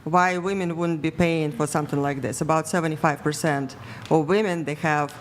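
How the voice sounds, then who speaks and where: A woman on a podcast panel explaining attachment styles slowly and carefully, with slightly nonnative phrasing. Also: deep voice, fairly even intonation, slight background noise. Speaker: panel guest. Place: bright studio with a large panel. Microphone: desk mic on arm.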